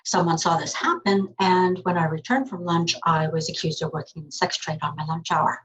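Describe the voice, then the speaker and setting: A woman reading a first-person testimony in a plain, measured, serious way. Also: lowish voice, a bit bright, dull audio. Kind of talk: read testimony narration.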